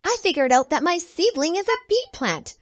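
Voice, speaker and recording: A woman's voice: extremely high pitch, sing-song, normal speed, no room sound, lo-fi sound, voice pushed up. A woman voicing a confident young cartoon puppy girl in a storybook, eager and knowing.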